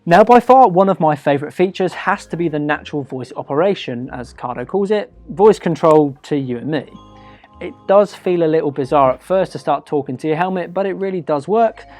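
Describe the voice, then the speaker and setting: A British man with a slightly high voice presents a product review over faint background music. His delivery is conversational and chatty, partly scripted, and he leans on key words for emphasis. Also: animated, brisk, darkish tone, no echo.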